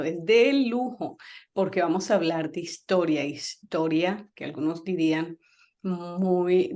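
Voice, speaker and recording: Chatty woman talk-show host, low voice. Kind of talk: radio talk show interview.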